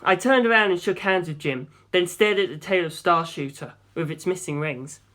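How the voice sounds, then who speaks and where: A man's voice: high-pitched, moderate pace, pushed and bright. Man narrating an adventure story. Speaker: audiobook narrator. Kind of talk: first-person scripted story narration.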